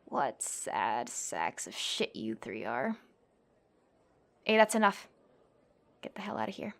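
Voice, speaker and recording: A woman's voice: rumbling low, very animated, slow pace, gravelly, a bit bright, heavily acted. A young woman playing a tough, cocky tsundere classmate who fights MMA, brash, bossy and teasing, in an ASMR roleplay. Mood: mocking, taunting. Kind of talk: ASMR girlfriend roleplay acting.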